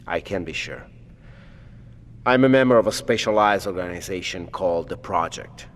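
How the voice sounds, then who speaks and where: A man acts out a wary, nervous scientist for an audio drama. His voice sits fairly low, and he picks formal, precise words with some hesitation. Long reverb rings around him like an empty hallway. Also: normal speed, close to an everyday voice. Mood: anxious, subdued. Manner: hesitant, precise, educated phrasing. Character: nervous blind male scientist, formal and wary, stranded alone.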